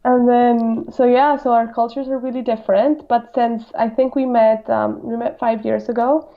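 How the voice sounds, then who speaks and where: A woman in her late twenties from Colombia with a Colombian accent, chatting informally as a podcast guest and telling stories in an animated way. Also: fairly high pitch, normal speed, lo-fi sound. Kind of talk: casual interview chat.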